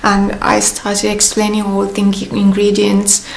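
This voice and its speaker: A woman's voice: mid-range voice, completely flat delivery, unhurried, creaky, roomy sound, slight background noise, poor audio. A young woman from Italy with an Italian accent, chatting casually to camera with many fillers and restarts. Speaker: vlogger. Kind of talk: casual vlog monologue.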